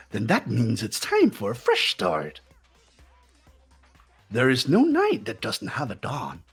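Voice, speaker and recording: A gleeful, sinister bear mascot played by a man leaps all over his pitch range in a singsong, theatrical way. He draws out his words slowly and lingers on them, and his tone is breathy and husky. The effect is both playful and menacing. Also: exaggerated voice. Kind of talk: fan voice acting of a game.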